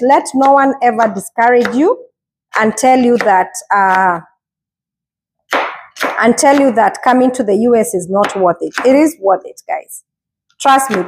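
A woman's voice: a little high, slight background noise, low-quality recording. A woman, casually encouraging viewers in a vlog while cooking in her home kitchen, recorded on a lapel mic. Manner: earnest, encouraging, repeats herself; talks while washing and chopping vegetables. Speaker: vlogger.

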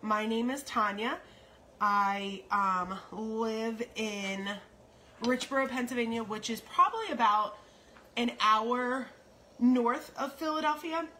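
A woman's voice: fairly high pitch, sharp tone. A middle-aged American woman chatting casually and rambling a little as she cooks for an online audience in her home kitchen. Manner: unhurried, rambling asides while busy cooking. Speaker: home cook and online host. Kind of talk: casual cooking livestream chat. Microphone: phone propped nearby. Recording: noisy kitchen, sizzling pan. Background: frying sizzle and stirring.

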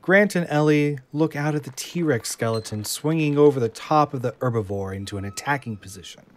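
A man narrating the stage directions of an audio drama in a steady, descriptive reading. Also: on the high side, brisk.

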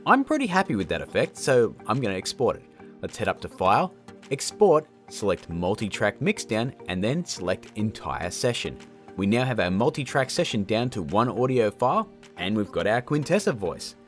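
A man's voice: fairly low pitch, very animated, normal speed. A man in his thirties narrating a tutorial in a casual, informal way. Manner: informal, addresses viewers as guys. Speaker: host of audio effect tutorials. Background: background music.

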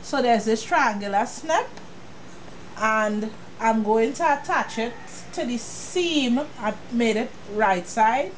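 A woman's voice: fairly high pitch, expressive, takes it slowly, slight background noise, rough recording. A woman with a cold and a sniffle, walking viewers through a sewing project in a chatty, casual way. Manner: chatty, talks straight to the viewer. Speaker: sewing tutorial host. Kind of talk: casual step-by-step sewing tutorial.

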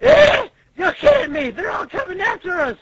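Shrill panicky coward, very high. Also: male voice, sing-song, normal speed, no room sound, shouting, full character voice. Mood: panicked disbelief. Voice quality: shrill, squawking. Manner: frantic, whiny, exaggerated. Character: panicky, cowardly young man shrieking in disbelief. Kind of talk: amateur comic read-aloud. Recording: dull lo-fi voice recording.

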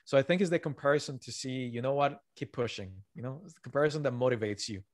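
A man in his thirties speaking English as a second language, earnest and emphatic as he works through his points. His voice sits at a middle pitch and comes through dry and close, with no room sound around it. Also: fast, no echo. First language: not English. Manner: earnest, emphatic, gives examples. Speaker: author guest. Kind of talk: podcast interview. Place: home room with bookshelves. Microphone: video call, headset.

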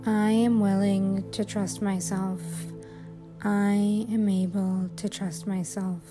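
Female meditation guide: very slow, even delivery, soothing, measured phrasing, music underneath. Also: medium pitch. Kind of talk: guided sleep hypnosis, affirmations.

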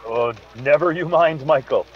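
A man playing an excitable, kindly Irish sidekick with an Irish accent in a radio mystery. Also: on the high side, moderate pace, close to an everyday voice. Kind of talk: radio mystery play acting. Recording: dull lo-fi video-call sound.

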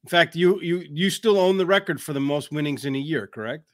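A man's voice: a little high, fairly quick. A man hosting a show, interviewing a guest over a video call with friendly leading questions. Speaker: show host.

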